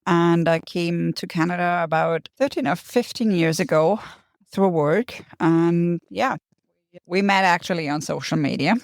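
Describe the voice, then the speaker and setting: Gravelly woman guest. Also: on the low side, unhurried, dry recording, clean studio sound. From Germany. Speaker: guest, pastry chef. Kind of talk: casual podcast interview.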